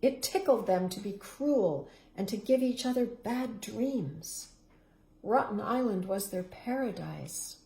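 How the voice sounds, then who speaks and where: A woman reading a children's storybook aloud, expressive and savoring each word, stopping for playful asides to her young listeners. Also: medium pitch, slow pace, a little hiss or hum. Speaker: local councillor reading a children's book. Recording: echoey room.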